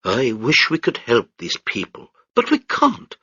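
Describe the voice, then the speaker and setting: A man's voice: mid-range voice, wildly swooping pitch, measured pace, sharp tone, slightly rough, dry recording, dull audio, pitched above the performer's own voice, heavily acted. A man voicing a pompous upper-class marine major who speaks in a haughty, clipped drawl. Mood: dismissive.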